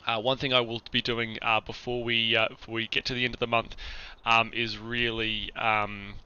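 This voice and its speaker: A young man chatting casually on his flight sim stream, a bit under the weather with a deeper voice than usual, full of fillers and restarts. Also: flat delivery, normal speed, sharp tone, clear, resonant tone. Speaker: charity streamer. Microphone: small boom mic. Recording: lo-fi boom mic.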